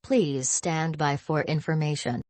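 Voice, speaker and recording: A synthetic woman's voice reading scripted emergency broadcast announcements in a stiff, impersonal, measured way. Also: low voice, steady tone, darkish tone, dry recording.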